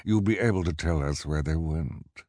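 A man's voice: a bass voice, normal speed, a little mellow, dry recording, clean studio sound. A man voicing a blunt bald henchman.

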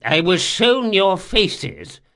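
A man's voice: sits high, wildly swooping pitch, drawn-out words, a bit bright, voice pushed up, full character voice. A man narrating an audiobook, voicing a wizened, wealthy old man who is haughty and formal, bargaining indignantly. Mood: guarded, haughty. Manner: haughty, formal, pleading when frightened.

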